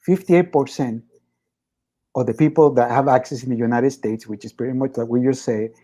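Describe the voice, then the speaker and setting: A man's voice: medium pitch, steady tone, moderate pace, dark tone. A man in casual chat, steadily explaining statistics with occasional fillers.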